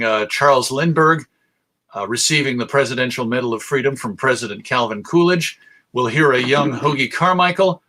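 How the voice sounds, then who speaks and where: A man's voice: on the high side, pushed and bright. An American man giving a conversational talk to an audience as a radio show host, with wry, jokey asides. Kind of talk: live presentation to an audience, conversational lecture.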